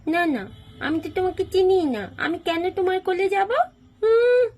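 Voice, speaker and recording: A cute little girl chatters in a high, loud, babyish voice. She lisps, asks curious questions and is openly affectionate, as in a children's doll story. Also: lively intonation, normal speed, soft background music. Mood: coy refusal. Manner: babyish lisping speech.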